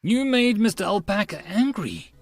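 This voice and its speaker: A woman's voice: mid-range voice, expressive, normal speed, voice pushed up. A narrator putting on a sweet, airy young anime girl. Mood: gently teasing.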